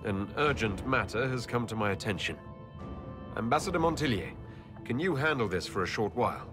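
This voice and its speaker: A man's voice: medium pitch, lively intonation, brisk, close to an everyday voice. An actor playing a dry-witted male leader in a game cutscene with music behind. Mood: wry. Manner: dry, wry. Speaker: player character.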